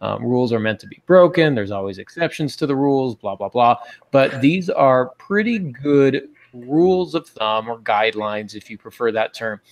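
Podcast host: American male, forties, creaky voice, informal teaching style. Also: mid-range voice, animated, moderate pace, hardly any pauses. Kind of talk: casual instructional podcast talk. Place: small home office, indoors. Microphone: earbud headset on video call.

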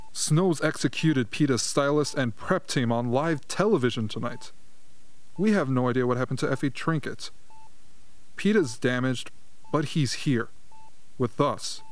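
A composed official in charge, acted by a man in a radio drama. He sounds reassuring and speaks at a medium pitch. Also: lightly acted. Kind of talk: amateur radio play acting.

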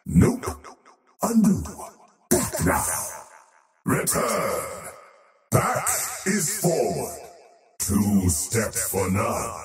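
A male actor playing a menacing, haughty faceless elder god of the void in a video game, speaking in an ominous, deliberate, theatrical way with an otherworldly echo effect. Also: medium pitch. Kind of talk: video game character voice lines. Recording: cavernous echo, distant, music underneath.

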